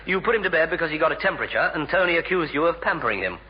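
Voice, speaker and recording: A man's voice: on the high side, expressive, racing, natural voice. An actor playing a flustered, bumbling young Englishman in a 1960s British radio sitcom, hesitant, stammering and joking. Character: flustered, bumbling young man avoiding family rows. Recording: noisy, dull old radio recording.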